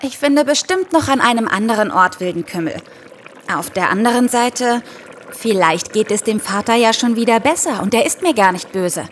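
An actress playing an earnest, hesitant young herbalist's apprentice in an audio drama, speaking tentatively. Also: female voice, a little high, expressive, normal speed, a little hiss or hum, natural voice. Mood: hesitant, worried. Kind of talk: German audio drama acting.

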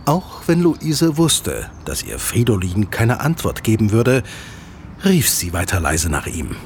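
A man narrating a scripted children's audio drama as an expressive storyteller with deliberate phrasing. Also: fairly low pitch, a little hiss or hum.

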